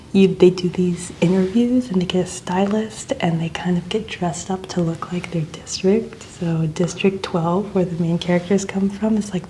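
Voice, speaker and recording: Softly whispering woman, mellow ASMR voice. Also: fairly low pitch, a little hiss or hum, rough recording. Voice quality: soft, breathy half-whisper. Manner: gentle, casual, murmured explaining. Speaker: ASMR makeup artist working on a model. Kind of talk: soft-spoken whispered ASMR.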